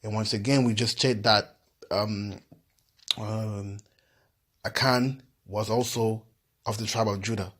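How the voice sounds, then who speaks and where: A man's voice: fairly low pitch, fairly even intonation, takes it slowly, pauses often, fairly bright tone. A man from Ivory Coast casually talking through research as he reads from websites, filling gaps with "yeah" and "you know what I'm saying". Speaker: video host.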